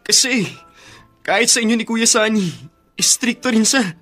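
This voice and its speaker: Bright, high-voiced meek youth. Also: male voice, steady tone, moderate pace, faint music behind, heavily acted. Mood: resigned, obedient. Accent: Filipino. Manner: earnest, deferential. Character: young orphaned male cousin, meek and obedient. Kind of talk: Filipino radio drama acting.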